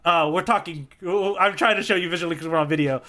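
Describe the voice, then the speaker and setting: A man co-hosting a podcast, casually explaining things in an animated way and often checking in with "right?". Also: a little high, fast. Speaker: podcast co-host explaining a manga fight.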